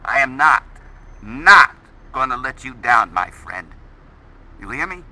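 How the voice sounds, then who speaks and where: An actor playing a blustery, loyal older grandfather and fixer, brisk, emphatic and wry, in an audio drama. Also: medium pitch, sing-song, pushed and bright, full character voice, rough recording. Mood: firm, loyal. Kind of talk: naturalistic audio drama acting.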